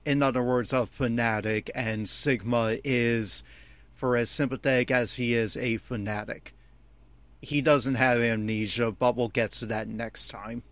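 A man giving opinionated, complaining episode commentary as a host, with emphatic, halting phrasing. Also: mid-range voice, fairly even intonation, a bit bright, dry recording. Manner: exasperated, complaining. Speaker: fan podcast host.

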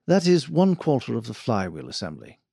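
Western audiobook employer, male reader: pompous, fussy gentleman, wordy and formal, expressive pitch, close dry sound. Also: a little fast, creaky, husky, dry recording, exaggerated voice. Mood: stiff, restrained.

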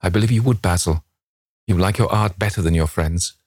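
A man's voice: sits low, brisk, gravelly, a little mellow, dry recording, clean studio sound. A man voicing a vain, petulant young man in an impassioned, dramatic reading of a novel. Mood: bitter, hurt. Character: petulant, anguished young man, vain about his beauty.

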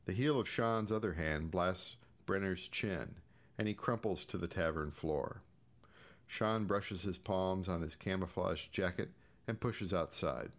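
Low-pitched American man reading a short story. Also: a little slow. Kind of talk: scripted audiobook-style fiction narration.

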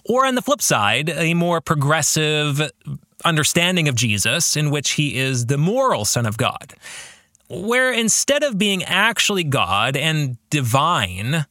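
Male podcast host, reflective faith talk. Bright, lively intonation, deliberate halting phrasing, dry close sound. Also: on the high side, no echo. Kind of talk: reflective spoken book discussion, faith talk.

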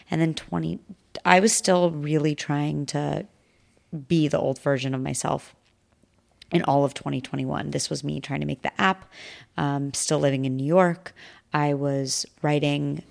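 A woman's voice: deep voice, steady tone, a little slow, gravelly. A woman in her thirties, a podcast guest talking reflectively and earnestly in casual conversation. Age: 30s. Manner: reflective, earnest, talks through thoughts with restarts.

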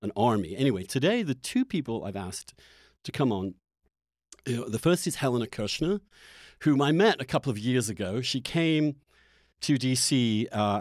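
Halting male interviewer, very dry sound. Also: fairly low pitch, darkish tone, no echo. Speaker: host interviewing guests. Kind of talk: conversational podcast introduction and interview.

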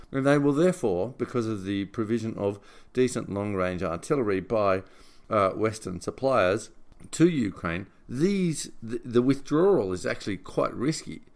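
A man with a fairly low voice delivers semi-scripted commentary in the role of a podcast host. His phrasing is deliberate and somewhat halting, with words repeated as he works through each point. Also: vocal fry.

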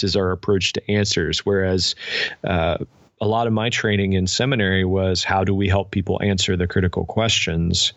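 An American man speaking as an interview guest, explaining things in a thoughtful, measured, conversational way. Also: low voice, vocal fry, no room sound, highs cut off. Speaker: podcast guest, spiritual director and pastor.

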